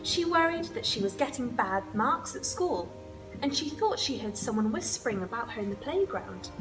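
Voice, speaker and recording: A woman's voice: fairly high pitch, very animated, normal speed, music in the background, poor audio. A young woman storyteller reading a children's picture book expressively. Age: young adult. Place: indoors, room with wood-panelled wall and sofa.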